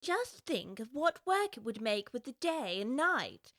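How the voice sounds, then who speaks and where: An actress playing a polite, curious young girl who speaks earnestly, timid yet quick to object. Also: fairly high pitch, sing-song, fairly bright tone, dry recording, clean studio sound, heavily acted. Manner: earnest, timid yet indignant, well-spoken. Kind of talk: audiobook drama acting.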